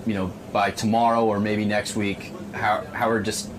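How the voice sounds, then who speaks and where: Male interviewer, lowish voice, casual questioning, clearly noisy background. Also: level tone, moderate pace. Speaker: radio interviewer.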